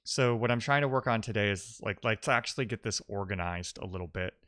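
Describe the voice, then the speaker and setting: Low, clean-voiced man thinking aloud. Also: fairly quick, a bit bright, no room sound, studio quality. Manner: informal, reading and answering chat messages aloud. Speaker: live-streaming programmer, host. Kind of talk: casual live-coding stream chat, thinking aloud.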